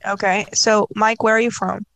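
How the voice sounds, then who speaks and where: A woman's voice: mid-range voice, flat delivery, moderate pace, gravelly, a bit bright, dry recording. A woman from South Korea chatting casually and telling personal stories. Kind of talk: casual chat.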